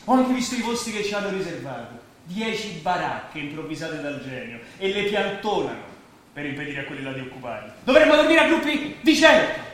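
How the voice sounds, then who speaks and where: An actor playing an indignant homeless man in Italian theatre, agitated and declamatory, swinging between hushed talk and shouts. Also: high voice. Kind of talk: theatrical Italian stage acting. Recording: very echoey stage hall recording.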